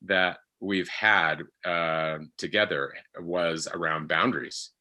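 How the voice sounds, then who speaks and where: Bright, low-pitched man, halting phrasing. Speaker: actor guest on a discussion panel. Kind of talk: conversational discussion.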